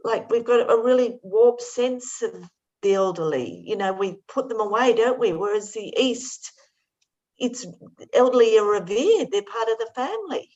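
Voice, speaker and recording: A woman's voice: a little high, lively intonation, moderate pace, a little mellow. A woman presenter answering comments in a casual, reflective way, in short musing phrases with tag questions. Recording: dull online meeting audio.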